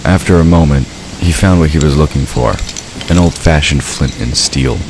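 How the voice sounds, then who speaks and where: A man's voice: rumbling low, moderate pace. A man narrating a scripted story as the narrator of an audio drama. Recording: clear background ambient noise. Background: ambient sound effects.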